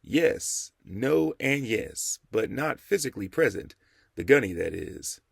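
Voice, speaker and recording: A man voicing an old, dapper reverend who mentors a younger man in a measured, formal way. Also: medium pitch, sing-song, creaky, breathy edge, no room sound, clean studio sound, full character voice. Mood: calm.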